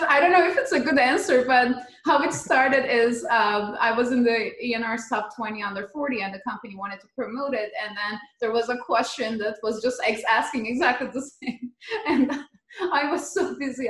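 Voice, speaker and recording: A woman's voice: fairly high pitch, normal speed, fairly bright tone. Turkish-accented woman in an echoey room. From Turkey. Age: 30s. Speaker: podcast guest, geotechnical earthquake engineer. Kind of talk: conversational interview answers. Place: living room at home, indoors. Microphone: computer mic on a video call. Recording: roomy echo on video call.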